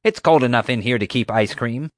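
A man reading an audiobook, voicing a loud, bossy adult woman security guard who barks and growls her commands. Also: medium pitch, fairly quick, dry recording, clean studio sound, pitched above the performer's own voice, close to an everyday voice. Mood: angry, bossy.

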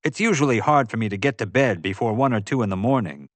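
A man voicing an excitable, carefree adventure lover in an audiobook, talkative and casual. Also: lowish voice, quick pace, dry recording, lightly acted. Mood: easygoing. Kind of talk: audiobook character voice.

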